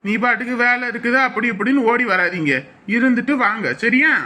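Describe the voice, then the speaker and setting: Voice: mid-range voice, fairly quick, sharp tone, natural voice. An actor playing a fussy old village father-in-law. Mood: fond. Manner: fussy, repeats safety advice. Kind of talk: family drama acting.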